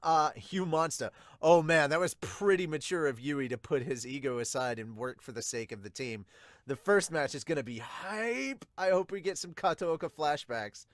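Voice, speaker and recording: A man hosting a podcast, reading aloud and reacting casually with excitable exclamations. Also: fairly high pitch, normal speed, dry recording, studio quality. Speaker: podcast host and reviewer. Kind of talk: manga chapter dub reading and casual reaction commentary.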